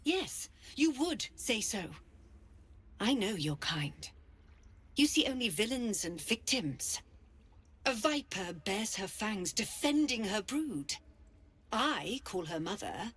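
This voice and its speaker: A woman playing a stern, zealous druid leader in a video game, proud and menacing, with a solemn, deliberate delivery. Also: medium pitch, sing-song, a bit bright, husky, full character voice. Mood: scornful. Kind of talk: dramatic video game acting.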